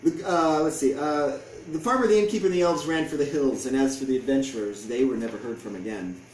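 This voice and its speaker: A man's voice: mid-range voice, fast talker, darkish tone. A man reading a picture book aloud to an audience as its author, in storytelling style. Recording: echoey room, distant mic, faint noise.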